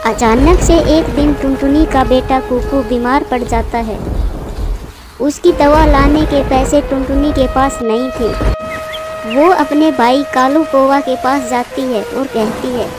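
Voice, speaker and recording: A woman narrates a scripted children's story. Her voice is notably high, and music plays clearly behind her. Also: slow.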